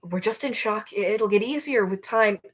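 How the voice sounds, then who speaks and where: A woman voicing a cautious, worried young female squad leader in a novel reading, measured and hesitant. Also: medium pitch, breathy edge, pitched above the performer's own voice, close to an everyday voice. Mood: worried, doubtful.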